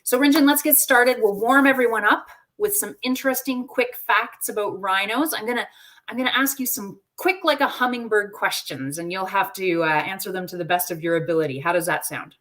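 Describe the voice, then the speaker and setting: A woman's voice: medium pitch, a little fast, a bit bright. A woman hosting a live wildlife webinar, friendly and upbeat, talking conversationally over a video call. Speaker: host.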